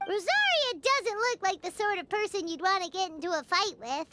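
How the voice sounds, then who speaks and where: A woman voicing a tiny, cheeky, opinionated fairy companion in a video game, with a squeaky childlike voice and a blunt, chatty manner. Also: animated, moderate pace, heavy background noise. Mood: pondering. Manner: third-person self-reference, blunt, chatty. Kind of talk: video game character acting. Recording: loud children's music behind. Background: tinkling children's music.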